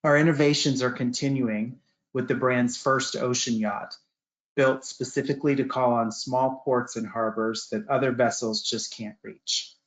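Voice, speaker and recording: A man with a medium-pitched voice delivers a prepared travel promotion. He sounds polished and salesy, like a presenter introducing a product to sellers. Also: fairly even intonation, normal speed.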